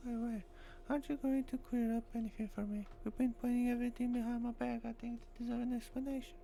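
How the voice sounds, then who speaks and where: Man voicing a high-pitched, wary young heroine. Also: flat delivery, fast talker, creaky, mellow. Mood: indignant. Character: wary, irritable young woman, the visual novel's heroine.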